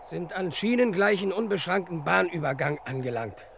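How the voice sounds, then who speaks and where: An eager junior sleuth in a vintage crime radio spoof. His voice sits high and crackles with fry. He talks in clipped, telegram-like phrases over slight recording noise. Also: animated, moderate pace. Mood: puzzled, dutiful. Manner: clipped telegram-style sentences without pronouns. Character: eager sidekick detective Naumann, devoted to his master. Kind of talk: 1960s German crime radio play parody.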